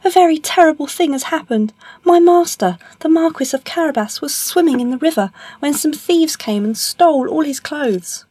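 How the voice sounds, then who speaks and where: A woman's voice: high voice, expressive, moderate pace, dark tone, no echo, voice pushed up. A woman voicing a clever male storybook cat, eager and persuasive, with courtly, emphatic speech. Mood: alarmed.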